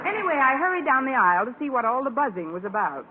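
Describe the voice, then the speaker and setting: A woman playing a wry, quick-witted high school teacher with dry, sarcastic delivery in an old radio comedy. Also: sing-song. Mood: dry, narrating. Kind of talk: 1940s-50s radio comedy acting and narration. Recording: noisy, tinny old radio broadcast.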